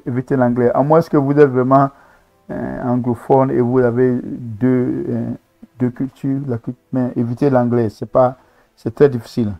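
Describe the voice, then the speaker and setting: A man's voice: medium pitch, flat delivery, moderate pace, creaky, dull audio. A man hosting a reaction video, commenting on gospel singers with enthusiastic, preacherly remarks. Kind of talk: casual commentary on a talent show.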